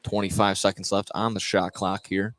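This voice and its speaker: A man's voice: deep voice, flat delivery, normal speed, a bit bright. A man doing live play-by-play commentary of a basketball game as the announcer. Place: indoor high school gym. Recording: noisy gym ambience, bouncing balls.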